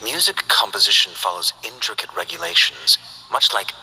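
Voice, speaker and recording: A calm, precise young scientist from a game, formal and measured in delivery. His lowish voice has a very bright tone and a lively rise and fall. Faint ambient noise sits under it. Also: exaggerated voice, rough recording. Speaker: game character. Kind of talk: game voice lines.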